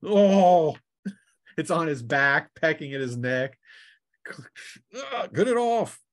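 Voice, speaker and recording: A man's voice: a little high, expressive, takes it slowly, dry recording, clean studio sound. Playful man running a tabletop game. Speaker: game master. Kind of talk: casual tabletop role-playing game narration.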